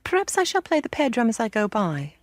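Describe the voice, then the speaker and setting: A woman voicing a cool, teasing village girl who speaks in a slow, superior drawl. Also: fairly high pitch, huge pitch swings, dry recording, pitched above the performer's own voice. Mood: aloof.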